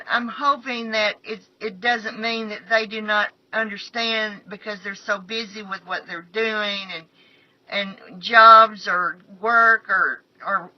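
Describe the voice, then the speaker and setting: Slightly raspy woman, dull phone recording. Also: a little high, dry recording. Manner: halting, repeats phrases emphatically. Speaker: channel host. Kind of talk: personal storytelling, recounting a prophetic dream.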